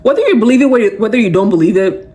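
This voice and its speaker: A woman's voice: a little high, fast. A young adult woman hosting a podcast, giving a casual, emphatic motivational talk full of fillers. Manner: animated, emphatic, conversational with many fillers. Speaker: podcast host.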